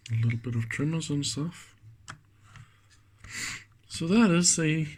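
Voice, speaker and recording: A man giving casual, unscripted hands-on commentary as a teardown host, musing in a halting way and trailing off mid-thought. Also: a little high, a little hiss or hum. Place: indoors at a workbench.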